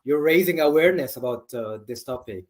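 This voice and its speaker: Male doctor as interview guest, mid pitched voice, chatty answers, into his subject. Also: animated, a little fast. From Lebanese family, grew up in several countries.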